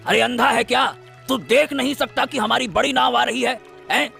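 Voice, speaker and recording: A man voicing an arrogant, rich boat-owning male villain in a cartoon, with a gravelly, bullying voice. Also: extremely high pitch, steady tone, normal speed, sharp tone, soft background music, full character voice. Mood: angry.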